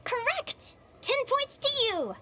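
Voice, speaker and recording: A woman playing a cheerful young adventuring advisor in a game, teaching and quizzing playfully in an animated way. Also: very high voice, slow, noisy background, full character voice. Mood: perky, teacherly. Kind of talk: animated game voice acting, lecture.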